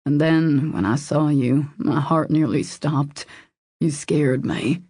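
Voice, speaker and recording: Woman voicing a husky, tender, protective man in love. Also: low voice, slow pace, mellow, no room sound, studio quality, exaggerated voice. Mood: apologetic, tender. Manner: earnest, reassuring, intimate. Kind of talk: audiobook romance dialogue.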